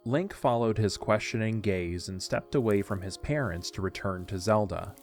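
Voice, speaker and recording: Guy narrating an audio drama, low voice, soft music underneath. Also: moderate pace.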